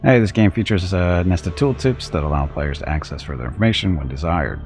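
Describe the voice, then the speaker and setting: A middle-aged man with a deep voice streaming a game, chatting casually and reading on-screen text aloud in a rambling, self-deprecating way. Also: lively intonation, fairly quick, a little mellow, soft background music. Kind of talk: casual let's-play commentary.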